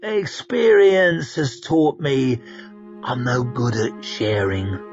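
A man voicing Koska, a scheming, grandiose male adventurer who looks down on ordinary people, in a haughty, drawn-out way. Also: medium pitch, creaky, darkish tone, muffled recording, faint music behind. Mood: scornful. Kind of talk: audiobook character reading.

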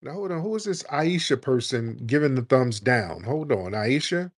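A man hosts a call-in show. He argues hard with callers and keeps pushing rhetorical questions. His voice sits at a middling pitch. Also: animated, normal speed. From United States. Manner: combative, rhetorical questions, acts out quoted voices. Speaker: livestream show host. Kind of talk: opinionated commentary with callers.

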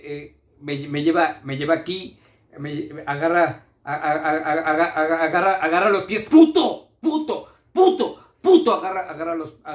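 A man's voice: fairly high pitch, natural voice. A man playing a confused, pleading drug addict who stammers and repeats himself in a theatrical monologue. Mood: angry, panicked. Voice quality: stammering, halting speech. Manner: fragmented, obsessively repeating himself. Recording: echoey room.

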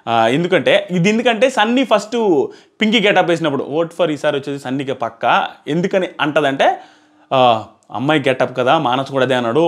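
A man, giving an informal, animated review as he explains and repeats his points into a lapel mic. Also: on the high side. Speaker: reality show reviewer. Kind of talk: informal episode review commentary. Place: small plain indoor room. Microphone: clip-on lapel mic.

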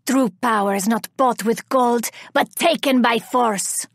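A vengeful female reaper from a video game, speaking in a fairly high voice with cold, theatrical menace. She is haughty and scornful as she heralds destruction. The recording is close and very dry. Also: a little slow, creaky, no echo. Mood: haughty contempt. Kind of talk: video game character voice lines.

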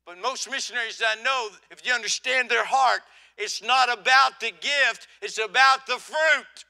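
A man's voice: very high voice, normal speed, vocal fry. Folksy older man preaching from the stage. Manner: folksy, swings from soft to loud, asks "huh?". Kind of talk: sermon. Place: church auditorium stage.

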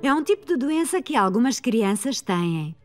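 A woman's voice: on the high side, sing-song, moderate pace, soft background music. A woman voicing a kind, patient preschool teacher in a children's cartoon dub, with a European Portuguese accent. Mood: explaining.

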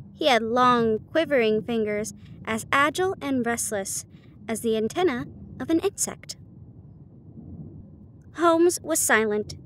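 A woman reading classic fiction aloud in a slightly halting manner, as in an intimate audio roleplay. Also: on the high side, a little hiss or hum. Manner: halting, stumbles over difficult words, giggly. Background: ambient sound effects.

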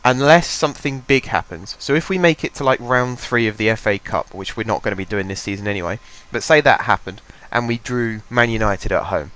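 A man's voice: fairly low pitch, brisk, few pauses, a bit bright, poor audio. A young man with a head cold, chatting casually as he commentates his own game at a home desk mic, rambling and thinking aloud. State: has a cold, congested. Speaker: gaming channel host.

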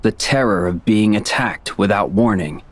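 An actor playing a sinister young male villain in a video game, taunting and deliberate in manner. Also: fairly low pitch, no echo, close to an everyday voice. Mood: smug, gloating. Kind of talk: video game cutscene acting.

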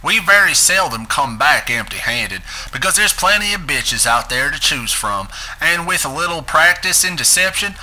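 A man's voice: on the high side, animated, normal speed, bright, forceful tone, rough recording. A man giving a dramatic reading of a script as its narrator. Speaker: narrator reading a transcript aloud.

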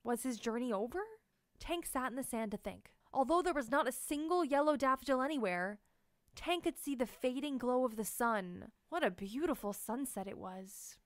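Female children's book narrator, high-pitched, expressive storytelling, dry recording. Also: normal speed, no echo. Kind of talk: children's read-aloud narration.

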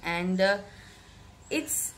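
A young woman, slightly hoarse from a cold, giving a reflective weekly tarot reading to camera in a small room, often repeating words for emphasis. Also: on the low side, expressive, extremely slow, a bit bright, a little hiss or hum. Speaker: tarot reader. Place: bedroom with curtained window, indoors.

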